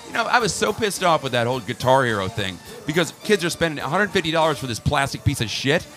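A man co-hosting a talk show, bantering and telling jokes in a loose, animated way. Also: on the high side, quick pace, soft background music. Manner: joking, animated storyteller. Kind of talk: casual banter.

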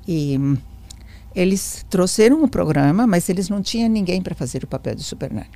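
A woman's voice: on the low side, a little fast, gravelly. A woman in her sixties or older who speaks Portuguese with a foreign accent, telling her story as an interview guest and acting out the dialogue she quotes. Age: 60s or older. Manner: animated storyteller, acts out quoted dialogue, repeats phrases.

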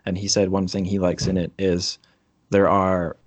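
A man's voice: low voice, level tone, moderate pace, no room sound. A man from Scotland with a Scottish accent, hosting a podcast interview in a casual, rambling conversational way. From Dundee, Scotland.

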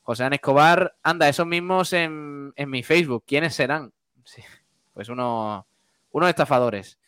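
A man hosts a relaxed live radio show. His voice is clear and smooth, recorded dry and close. He reads listener comments aloud and banters colloquially. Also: fairly high pitch, normal speed, dry recording. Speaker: radio show co-host reading listener messages. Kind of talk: casual live chat, reading out comments.

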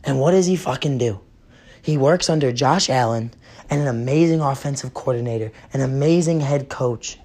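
Hoarse, profane young man hosting sports. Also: mid-range voice, moderate pace, a little mellow. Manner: profane, blunt, fired up. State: hoarse, losing his voice. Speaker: sports podcast host. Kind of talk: casual sports rant.

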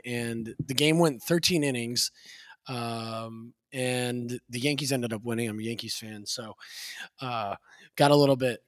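Slightly raspy guy chatting loosely. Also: mid-range voice, no room sound, studio quality. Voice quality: a little raspy. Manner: loose, laughing storyteller with many restarts. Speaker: podcast co-host. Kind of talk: casual chat.

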